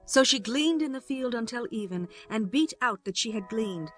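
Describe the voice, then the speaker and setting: A woman's voice: a little high, expressive, moderate pace, soft background music. A woman narrator reading a scripted scripture audiobook.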